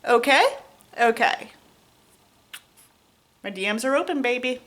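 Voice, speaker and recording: Female online creator addressing someone directly; very high voice, unhurried and theatrical. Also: a bit bright, slight background noise. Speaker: YouTuber.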